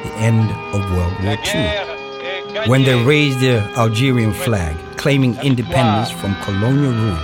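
A man playing a grave narrator of a historical radio play, reading scripted narration in a measured, deliberate, formal way with pauses. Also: medium pitch, expressive, close to an everyday voice. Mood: solemn, factual. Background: music.

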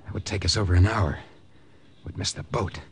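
Determined American saboteur, male actor, lowish voice, clipped orders, classic radio drama style. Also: fairly even intonation, brisk, a little hiss or hum, close to an everyday voice. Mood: tense, determined. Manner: clipped, decisive. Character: resolute young American secret agent on sabotage mission. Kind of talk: old-time radio drama acting. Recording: old radio drama recording.

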